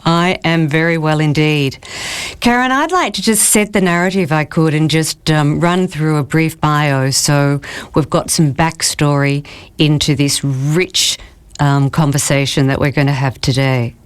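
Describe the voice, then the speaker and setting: Low-voiced woman hosting a podcast. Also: a little slow, smooth. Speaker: podcast host. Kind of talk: podcast interview.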